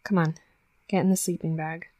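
Woman acting a gruff tsundere werewolf girl, low-pitched. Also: flat delivery, normal speed, darkish tone, no echo, lightly acted. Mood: exasperated, bossy. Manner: brash, swearing, grudgingly tender. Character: tough young female werewolf bully, gruff tsundere, secretly caring. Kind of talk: intimate roleplay audio drama.

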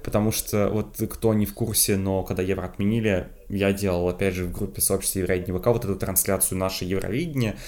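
A young man from Moscow co-hosting a podcast in casual chat, talking quickly and breaking into laughs. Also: deep voice. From Moscow, Russia. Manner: quick, lively, laughs often, restarts. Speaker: podcast co-host.

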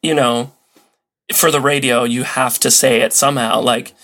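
Guy guest on a podcast, medium pitch, chatty explainer, kinda jokey and animated. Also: moderate pace. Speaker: podcast guest, old-time radio enthusiast. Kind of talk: casual conversational explaining.

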